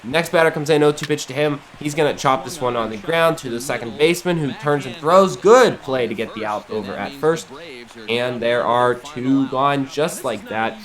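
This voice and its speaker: A man's voice: mid-range voice, moderate pace, a bit bright. An American man hosting a gaming series, giving casual, rambling, conversational commentary while a bit sniffly from getting over the flu. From Ohio, United States. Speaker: gaming YouTuber, series host.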